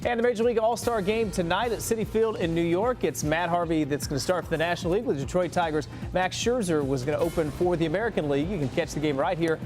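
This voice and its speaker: Male news anchor, fairly high voice, brisk upbeat read, music bed underneath. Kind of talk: scripted news reading.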